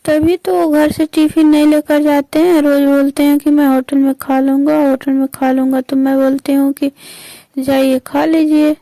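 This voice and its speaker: A woman's voice: sits high, little pitch movement, normal speed, dark tone, no echo, poor audio. A woman playing a coy, hesitant married aunt in a staged phone conversation, speaking colloquial speech. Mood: coy, defensive.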